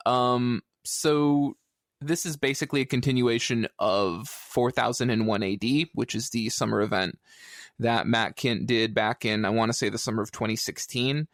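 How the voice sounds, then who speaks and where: A man co-hosts a casual podcast with an average-pitched voice. He rambles and hesitates, slipping in tongue-in-cheek asides. The recording is tight and dry, free of room sound. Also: steady tone, moderate pace, no room sound. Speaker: podcast co-host. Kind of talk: casual comic book review chat.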